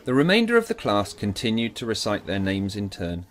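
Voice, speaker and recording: A man's voice: fairly low pitch, huge pitch swings, a little fast, a little hiss or hum. A man narrating a scripted audiobook drama in a theatrical, emphatic storytelling manner.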